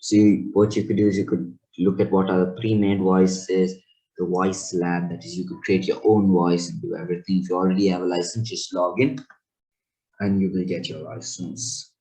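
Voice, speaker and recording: A man giving a loose, rambling software tutorial and correcting himself as he goes. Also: deep voice, flat delivery, normal speed, rough recording. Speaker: tech channel host. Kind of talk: casual screen tutorial.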